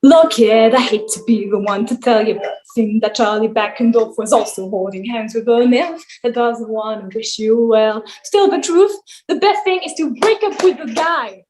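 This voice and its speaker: A woman's voice: fairly high pitch, normal speed, few pauses, music in the background, lightly acted, poor audio. A woman playing a jaded, sarcastic male wine god who runs a summer camp, in a weary, theatrical table read. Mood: sardonic. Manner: sardonic, weary, theatrical complaining.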